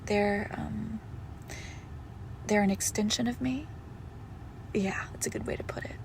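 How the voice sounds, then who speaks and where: Woman voicing possessive monster girlfriend, horror audio roleplay, mid-pitched, gravelly fry, darkly affectionate, condescending, deliberate drawn-out delivery. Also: steady tone, slight background noise. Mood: tender menace. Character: possessive monstrous girlfriend with shadow powers, sweetly menacing. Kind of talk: intimate horror roleplay audio, slow and hushed.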